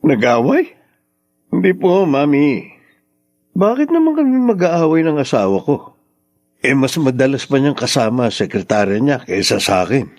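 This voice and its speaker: An actor playing a resentful husband who has been drinking, complaining in a radio drama. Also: male voice, medium pitch, very animated, normal speed, pauses often, darkish tone, no room sound, studio quality, faint music behind. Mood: bitter, complaining. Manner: aggrieved. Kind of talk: Tagalog radio drama acting.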